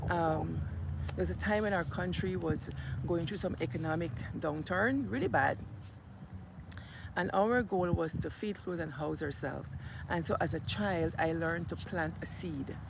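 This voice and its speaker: A woman's voice: on the low side, gravelly, very noisy. A middle-aged woman with a Guyanese accent chats casually and reflectively to viewers as a vlog host, telling stories in a conversational way. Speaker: vlogger and host. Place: outdoors near home in a village.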